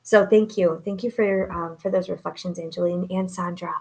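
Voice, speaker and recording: A woman's voice: on the low side, steady tone, normal speed, darkish tone, breathy edge, poor audio. Upbeat woman coach hosting a live talk. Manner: upbeat, preacherly, many fillers. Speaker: host and coach. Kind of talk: live-streamed motivational talk. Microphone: home setup, no studio.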